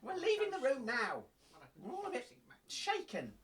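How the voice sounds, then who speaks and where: A woman playing an excitable, easily frightened radio reporter in a comic audio drama, giving earnest live commentary. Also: high voice, normal speed, long reverb tail, crowd noise. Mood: shaken. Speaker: radio presenter.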